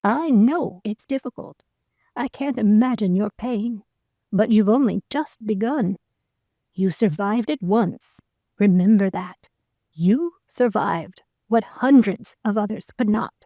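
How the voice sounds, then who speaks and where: A woman's voice: mid-range voice, slow, dark tone, no room sound. A woman voicing a wise male spirit guide who speaks in a solemn, encouraging way.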